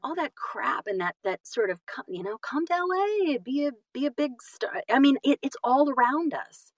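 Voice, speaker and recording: A woman talking reflectively as an interview guest, animated and self-deprecating, often halting and repeating phrases for emphasis. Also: high voice, dry recording. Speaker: guest, audiobook narrator and voice-over artist.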